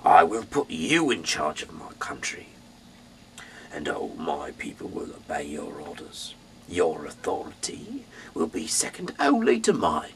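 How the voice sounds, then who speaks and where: A man from the UK reading scripture aloud in a dramatic, halting way, swinging between emphatic bursts and breathy, hushed delivery. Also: low voice, huge pitch swings, a little hiss or hum, low-quality recording. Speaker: Bible reader, amateur narrator.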